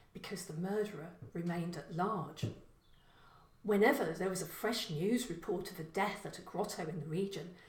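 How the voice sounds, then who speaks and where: A woman reading a short story as scripted narration, in a measured, expressive storytelling manner. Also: on the low side, reverberant, low-quality recording.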